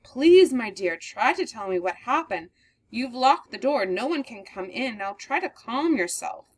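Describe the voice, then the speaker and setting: A woman reading aloud voices a kindly gentleman at a bus station who steadies a panicked stranger. He speaks in soothing, reassuring tones, and the voice stays high and close to the reader's own. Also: sing-song, measured pace. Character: calm, kindly older gentleman.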